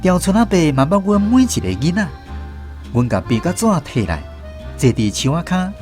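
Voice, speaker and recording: A man as narrator of a radio drama, looking back on a village boyhood. His voice sits a little high and moves in lively tones, with a Taiwanese accent, over soft background music. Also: normal speed. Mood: reminiscing. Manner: storytelling, reminiscing. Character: grown man recalling his village childhood.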